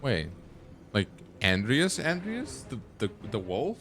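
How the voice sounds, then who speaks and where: A man's voice: medium pitch, wildly swooping pitch, slow pace, a bit bright, faint music behind. A man livestreaming a video game, giving casual commentary in short, offhand reactions. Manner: muttered reactions, short fragments. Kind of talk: casual live gameplay commentary.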